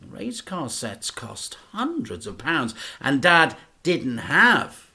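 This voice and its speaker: A man, a teacher, reading a children's book aloud in an expressive, dramatic storytelling manner. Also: fairly high pitch, takes it slowly, vocal fry.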